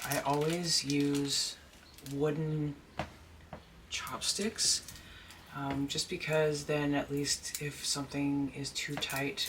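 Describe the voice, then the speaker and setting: A deep-voiced person chatting casually while unboxing a doll at home, rambling and gushing with excitement. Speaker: doll collector and vlogger. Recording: noisy home recording.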